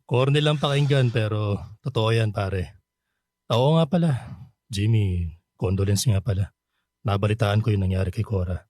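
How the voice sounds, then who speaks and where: A man's voice: on the low side, moderate pace, pauses often, darkish tone, dry recording, clean studio sound, lightly acted. A Filipino man playing a nostalgic, bashful middle-aged bachelor back home from abroad in a radio drama. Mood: sincere. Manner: easygoing, chuckling, sheepish.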